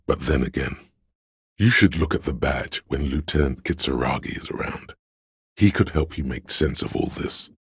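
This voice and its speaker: A man voicing a grave, all-knowing narrator in a detective video game, measured and solemn, with a gravelly, husky voice. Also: rumbling low, no room sound, studio quality, exaggerated voice. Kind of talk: scripted dramatic narration.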